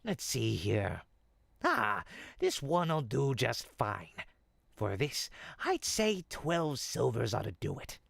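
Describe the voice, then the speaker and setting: A performer voicing a sly, smooth-talking goblin potion peddler in an audio roleplay, with folksy, teasing salesman patter. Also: mid-range voice, big pitch swings, takes it slowly, fairly bright tone, no echo, full character voice. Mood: teasing, wheedling. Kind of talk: audio roleplay, in-character monologue to listener.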